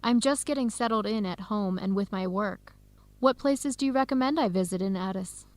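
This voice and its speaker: A woman's voice: mid-range voice, normal speed, no room sound, close to an everyday voice. An actress playing a friendly, modest young woman researcher in a radio drama, with a light, playful manner. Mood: shy, friendly. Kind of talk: educational radio drama acting.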